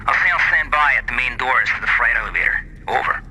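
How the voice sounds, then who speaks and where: Male actor as game squad leader on radio: quick, clipped, medium pitch, heavy noise and clicks. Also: natural voice. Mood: focused, businesslike. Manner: clipped, businesslike. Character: businesslike military team leader giving radio instructions. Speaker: military team leader. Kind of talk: radio mission instructions in a video game. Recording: very noisy military radio with clicks.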